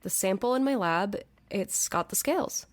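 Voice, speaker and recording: A woman's voice: mid-range voice, lively intonation, normal speed, dry recording, lightly acted. A woman playing a forthright, logical scientist in an audio drama, casual and sardonic as she explains things. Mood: matter-of-fact. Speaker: actor.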